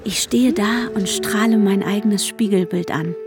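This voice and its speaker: A woman's voice: mid-range voice, measured pace, close to an everyday voice. An actress playing an intense, lovestruck woman, telling her own story intimately and broodingly in a radio drama, at times dropping to a whisper. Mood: blissful. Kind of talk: German radio drama first-person narration. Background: music.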